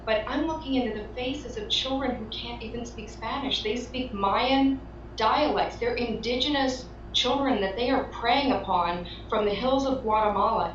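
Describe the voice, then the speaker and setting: A woman's voice: fairly high pitch, normal speed. A woman giving earnest, emphatic testimony as an interview guest. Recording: echoey, distant, dull, faintly noisy.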